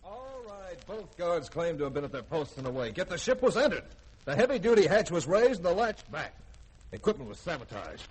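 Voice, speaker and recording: A stern spaceship skipper in a vintage radio play. His delivery is brisk and authoritative, with wide swings of pitch, over clear background noise on an old recording. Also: very animated, low-quality recording. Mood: brisk, irritated. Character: stern, commanding spaceship skipper, awkward around women. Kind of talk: 1950s-style radio drama acting.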